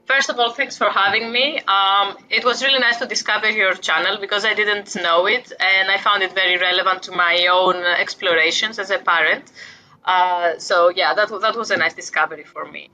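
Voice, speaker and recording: A woman's voice: medium pitch, fairly even intonation, moderate pace, sharp tone, poor audio. A woman in her forties from Greece with a Greek accent, a guest author talking reflectively about parenting, with light self-deprecating humor. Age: 40s. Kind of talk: casual interview talk.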